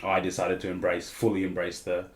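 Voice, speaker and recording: A man's voice: low voice, completely flat delivery, fairly quick, echoey room. A man in his late twenties from Papua New Guinea with an Australian accent, telling his story as a podcast guest with frequent ums and restarts. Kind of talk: casual interview chat.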